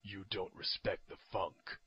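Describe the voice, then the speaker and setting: A low, slow young ninja villain voiced by a man, solemn and menacing. The husky voice sits in a dull recording for a cartoon spoof. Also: steady tone, exaggerated voice. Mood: solemn menace. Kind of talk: comedic parody dub.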